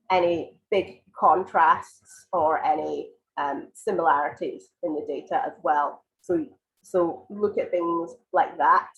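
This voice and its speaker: A woman teaching an online IELTS writing lesson, croaky and congested from a cold, explaining patiently with many ums and restarts. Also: low-pitched, muffled recording. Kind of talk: live online lesson, answering viewer questions.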